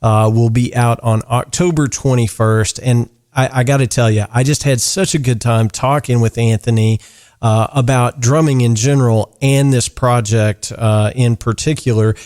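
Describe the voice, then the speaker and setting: A man hosting a podcast interview in relaxed, easygoing chat, often stumbling over words and filling pauses. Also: medium pitch. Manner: easygoing, lots of fillers and restarts. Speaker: podcast host.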